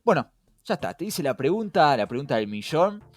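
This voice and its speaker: A man's voice: fairly high pitch, very animated, brisk, no room sound. A young man with a Rioplatense Spanish accent, co-hosting a conversational podcast in an emphatic way, putting questions straight to the listener. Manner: emphatic, rhetorical questioning, addresses listener directly. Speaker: podcast co-host. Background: background music.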